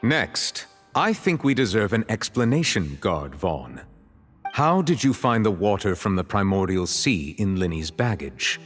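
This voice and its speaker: Grave chief justice, swooping intonation. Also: male voice, lowish voice, very animated, soft background music. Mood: accusing. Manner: measured, formal, authoritative. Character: grave, formal male chief justice presiding over a trial. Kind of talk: dramatic video game voice acting.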